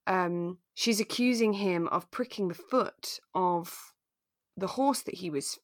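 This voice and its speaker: A woman co-hosting a podcast in relaxed, conversational chat, retelling a drama and acting out bits of it. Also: on the low side, takes it slowly, dry recording, clean studio sound. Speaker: podcast co-host.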